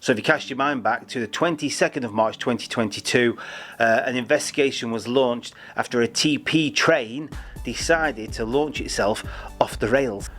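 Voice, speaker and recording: A man's voice: medium pitch, moderate pace, fairly bright tone, soft background music. Chatty man hosting a news roundup. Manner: chatty, jokey, stumbles over names. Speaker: host of a railway news show. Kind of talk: upbeat news roundup presenting.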